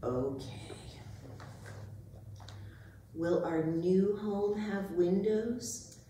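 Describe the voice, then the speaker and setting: A woman playing a proud, wary house cat in a staged reading, speaking in formal, deliberate phrases. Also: medium pitch, dark tone. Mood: wary, hopeful. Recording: roomy echoing hall, distant mic, noisy.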